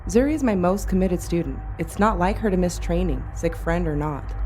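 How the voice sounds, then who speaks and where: A woman's voice: fairly low pitch, brisk, a little mellow, slight background noise, lightly acted. A woman playing a perceptive, insistent flight instructor in an audio drama, with a firm, probing manner.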